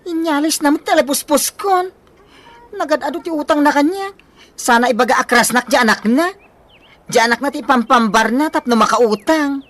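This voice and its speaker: A woman's voice: high voice, moderate pace, fairly bright tone. A woman with a Filipino accent playing an indignant, complaining creditor in a comedy radio drama.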